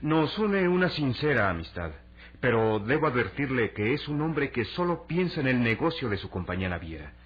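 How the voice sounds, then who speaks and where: An actor playing a cautious, worried, dignified ambassador in a radio serial, formal and measured. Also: male voice, medium pitch, very animated, a bit bright. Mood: advisory. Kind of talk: Latin American radio serial acting. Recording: dull old radio recording, hum and hiss.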